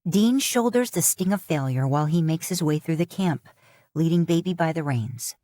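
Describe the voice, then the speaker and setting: A woman's voice: sits low, animated, moderate pace, warm tone, dry recording, clean studio sound. A woman reading a scripted story aloud in the third person, as an audiobook narrator. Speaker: fan fiction audiobook narrator.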